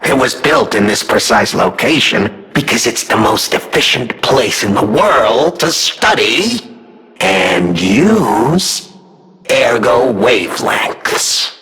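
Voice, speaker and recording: An actor playing a manic, mocking, murderous puppet in a video game, with theatrical delivery that is gleefully unhinged. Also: male voice, mid-range voice, very animated, slow pace, faint music behind, heavily acted, poor audio. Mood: taunting, scheming. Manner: theatrical, gleefully unhinged, swinging between hushed and shouted. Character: manic, mocking, murderous male puppet, self-styled king of riddles.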